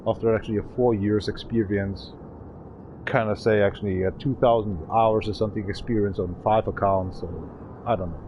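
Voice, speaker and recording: Grumbling low-voiced man over game noise. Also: fairly even intonation, fairly quick, dull audio. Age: 30s. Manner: rambling, swearing, frustrated. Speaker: streamer. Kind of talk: casual gaming stream chat.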